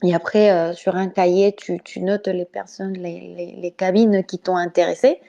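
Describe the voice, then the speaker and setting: A woman's voice: lowish voice, fairly even intonation, normal speed, gravelly, warm tone, dull audio. A woman from Mexico with a Spanish accent, chatting casually and laughing often, with many hesitations. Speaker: podcast guest. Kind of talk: casual discussion over voice chat. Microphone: home microphone on voice chat.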